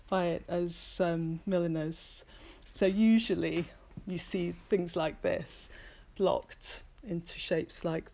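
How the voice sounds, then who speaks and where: Woman, low-pitched and unhurried, hesitant maker talking through her studio on a phone camera. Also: darkish tone. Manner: hesitant, many ums, practical and understated. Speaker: milliner giving a studio tour. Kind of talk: casual talk while showing hats. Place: small hat workshop indoors, bright window light. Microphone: phone camera held by speaker.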